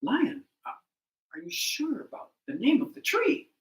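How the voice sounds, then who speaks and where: A storyteller as excitable, high-pitched meadow animals. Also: normal speed, echoey room, far from the mic, close to an everyday voice. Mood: dismayed. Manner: exclamatory, emphatic. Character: excitable crowd of meadow animals, doubting and pleading.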